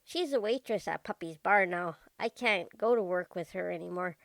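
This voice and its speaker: A woman's voice: lowish voice, normal speed, creaky, dry recording. Radio drama role: cagey young street girl of eleven, played by a woman, out to hustle a dime, very dry sound. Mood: cagey, bargaining. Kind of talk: old-time radio detective drama acting.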